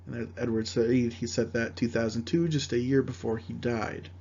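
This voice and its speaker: Man, history lecturer, reads quotes then explains plainly, middle pitch, slightly muffled lo-fi sound. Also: flat delivery, normal speed, gravelly, a little mellow, husky. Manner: reads quotations aloud, then explains them in plain words. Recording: slightly dull, lo-fi recording.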